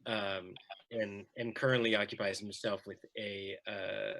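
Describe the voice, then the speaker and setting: A man's voice: fairly low pitch, level tone, unhurried, gravelly, fairly bright tone. A man on a panel describing his work casually, stammering, repeating words and trailing off. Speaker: playwright on a panel. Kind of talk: casual, explanatory discussion.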